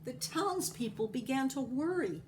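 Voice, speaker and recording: Woman doing story time, slow and expressive, bit of background noise. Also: high voice. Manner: animated, acting out the dialogue. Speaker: storybook reader. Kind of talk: expressive read-aloud of a picture book.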